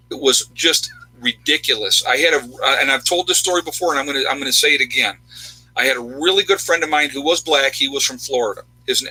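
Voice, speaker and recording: A man's voice: medium pitch, bright, forceful tone, husky, rough recording. A man in his fifties from upstate New York, talking bluntly and emphatically in a casual chat with viewers. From New York State, USA. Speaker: channel host, army veteran.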